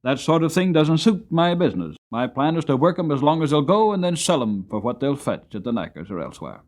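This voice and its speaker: Storybook villain played by a man: money-minded stable owner, callous, dismissive, forceful swings in intonation. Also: on the high side, very animated, a little fast, pitched above the performer's own voice. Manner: harsh, dismissive. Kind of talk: dramatic storybook reading.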